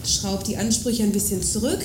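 A woman's voice: medium pitch, steady tone, moderate pace, lightly acted. An actress playing a smug, self-assured, dismissive woman who lectures in a satirical radio drama. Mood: smug lecturing. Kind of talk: audio drama dialogue, political satire. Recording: roomy, clearly noisy background.

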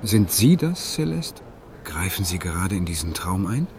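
A man's voice: sits low, sing-song, normal speed, darkish tone, a little hiss or hum. An actor playing a lonely, awkward man in an audio drama, hesitant and self-justifying as he defends himself. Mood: defensive. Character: defensive, lonely male dream-therapy client, awkward romantic. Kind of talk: German audio drama dialogue.

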